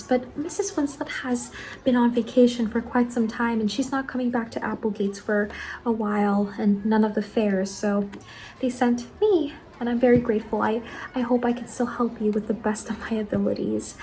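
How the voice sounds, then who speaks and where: A woman playing an eager, apologetic young saleswoman in an ASMR roleplay, speaking softly, politely and a little hesitantly. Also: a little high, slight background noise, natural voice, poor audio. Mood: humble, polite. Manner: soft-spoken, hesitant, polite customer-service talk. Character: Angeline, eager apologetic young saleswoman at macabre pumpkin stall.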